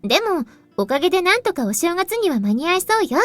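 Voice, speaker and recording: Cheerful coaxing shrine girl from a visual novel, high and quick, music faintly under. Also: big pitch swings, no echo. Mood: apologetic, friendly. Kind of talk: Japanese visual novel voice acting.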